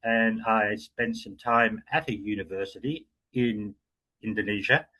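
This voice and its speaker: A man with an Australian accent giving an explanatory talk as guest speaker, measured and with frequent fillers. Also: on the low side, fairly even intonation, pushed and bright, poor audio. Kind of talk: lecture-style talk.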